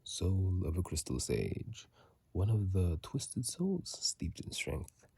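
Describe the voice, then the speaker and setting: A host delivers ASMR game commentary in a low, dark whisper. The delivery is slow and halting, with words often repeated. The voice is husky and breathy, picked up on a phone microphone. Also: dry recording.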